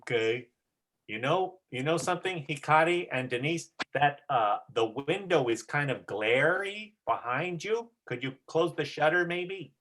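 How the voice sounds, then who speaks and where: A drama coach with a bright, lively voice speaks at an unhurried pace. The delivery is encouraging and casual, giving practical direction over a video call. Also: mid-range voice. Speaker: drama coach leading a rehearsal. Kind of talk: casual coaching, demonstrating lines.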